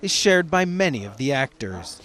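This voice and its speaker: A man narrating a news report as correspondent, with a measured, even broadcast delivery. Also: mid-range voice, crowd noise.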